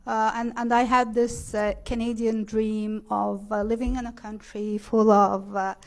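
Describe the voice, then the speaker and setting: Higher-voiced Egyptian woman testifying haltingly. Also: level tone. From Cairo, Egypt. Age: middle-aged. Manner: hesitant, halting. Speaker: witness at a hearing.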